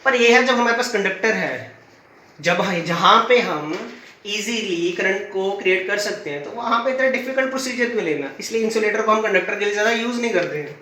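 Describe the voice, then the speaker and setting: A man's voice: sits high, expressive, fairly quick, bright, forceful tone, roomy sound, recorded from a distance, a little hiss or hum. A man with a sore throat teaching a physics class, putting questions to the students and answering them himself. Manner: uses everyday stories as examples. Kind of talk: classroom lecture.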